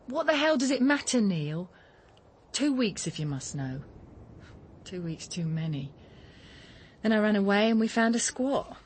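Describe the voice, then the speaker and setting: An actress playing a blunt, impatient young mother living rough in a radio drama. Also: mid-range voice, expressive, measured pace, pauses often, slight background noise, close to an everyday voice. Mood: defensive, irritated. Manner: blunt, swearing, teasing.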